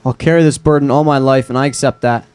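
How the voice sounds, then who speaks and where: A man's voice: medium pitch, steady tone, quick pace, a little mellow, clean tone, close to an everyday voice. A man acting a wry, earnest, lovesick young man in a live screenplay table read. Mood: troubled.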